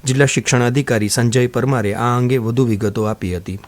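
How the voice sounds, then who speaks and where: A male newsreader delivers scripted bulletin copy in a medium-pitched voice. His delivery is formal, measured and neutral throughout. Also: fairly even intonation. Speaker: radio newsreader. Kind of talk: scripted news narration.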